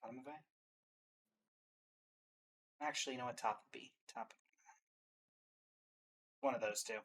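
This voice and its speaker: A reaction video host chatting casually, thinking out loud and changing course mid-thought. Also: medium pitch, steady tone. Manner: deliberates aloud, changes mind mid-thought.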